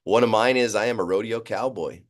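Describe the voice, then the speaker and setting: A middle-aged man joins as a guest by video call. His voice is low, and he talks in a casual, conversational way. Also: brisk. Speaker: guest, business coach. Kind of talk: casual chat. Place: wood-panelled room, on a video call. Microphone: video call microphone.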